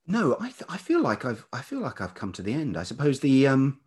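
A man speaking as an interview guest in a reflective, conversational way, thoughtful and halting as he searches for words. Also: mid-range voice, wildly swooping pitch, darkish tone, slightly rough. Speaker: interview guest, writer and musician.

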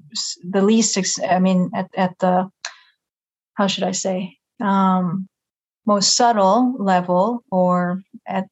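Japanese-born woman, middle aged, lowish voice, careful measured interview answers, bit of a dull lo-fi recording. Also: a little mellow. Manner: measured, careful, searching for words. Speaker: interview guest.

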